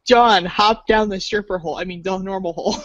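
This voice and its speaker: A woman's voice: medium pitch, steady tone, a little fast, no room sound. A woman narrating a comic read-along storybook in a playful, sardonic manner. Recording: muffled, dull lo-fi audio.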